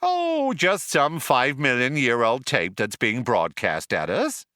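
A man's voice: medium pitch, very animated, slow, bright, forceful tone, no room sound, studio quality, full character voice. A man voicing an over-friendly spaceship computer in an audiobook, breezy, eager and exclamatory. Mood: cheery.

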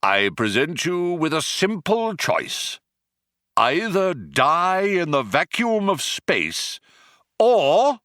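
A man voicing a pompous, merciless alien male poet, with a whirring, strident voice that climbs high as he declaims. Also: huge pitch swings, slow, fairly bright tone, dry recording, studio quality, heavily acted. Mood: menacing.

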